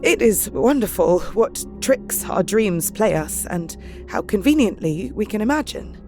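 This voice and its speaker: Anxious young Victorian woman, British, mid-pitched, intimate diary monologue in an audio drama, music underneath. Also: animated, a little slow. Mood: haunted, dreamy dread.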